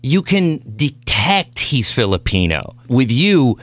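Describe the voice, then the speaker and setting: A man from Mexico hosting a casual interview podcast, animated and rambling, cursing freely and restarting his sentences. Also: mid-range voice, slow, no room sound. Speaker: podcast host.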